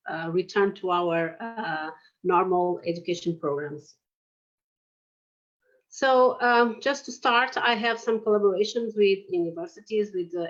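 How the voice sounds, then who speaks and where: A woman's voice: on the low side, highs cut off. A woman from Portugal in her forties with a Portuguese accent, giving a lecture on oral surgery with hesitant phrasing and frequent fillers. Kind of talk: online lecture presentation.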